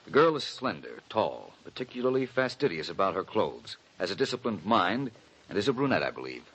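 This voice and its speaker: An actor playing a suave, dryly witty gentleman detective in a 1940s radio drama, measured and deductive. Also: low voice, big pitch swings, husky, heavily acted, rough recording. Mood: deducing.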